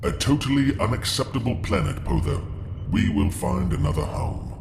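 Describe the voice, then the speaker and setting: Man playing a creaky, echoing, coldly logical alien. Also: mid-range voice, lively intonation, background noise. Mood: detached, disapproving. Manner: stiff, formal, deliberate phrasing. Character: cold, logical male alien conqueror baffled by love. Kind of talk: audio drama acting.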